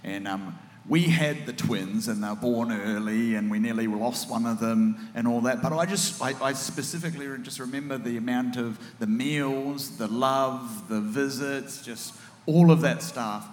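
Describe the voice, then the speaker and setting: A man with a big booming voice hosting a relaxed church panel chat, enthusiastic and affirming. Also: moderate pace. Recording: echoey hall with long reverb.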